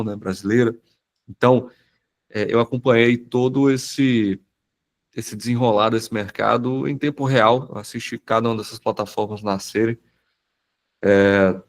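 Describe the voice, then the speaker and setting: A man with a cold, hoarse and a bit nasal, giving a casual live presentation on a video call with many fillers and restarts. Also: on the low side. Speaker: automation business owner presenting in a webinar.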